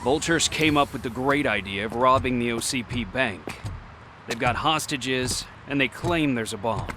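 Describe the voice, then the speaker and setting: A man's voice: mid-range voice, moderate pace, noisy background, lightly acted. A man playing a curt, commanding and territorial SWAT police officer in a video game. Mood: dismissive, briefing.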